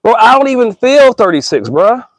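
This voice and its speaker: A man in his thirties with a notably high voice, talking to his listeners in an earnest, rambling way. He slips into slang, restarts his sentences and calls everyone bro and y'all. The sound is close and dry, with no room around it. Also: sing-song, normal speed, no echo. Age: 30s. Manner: rambling with frequent restarts and stammers. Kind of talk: casual reflective monologue.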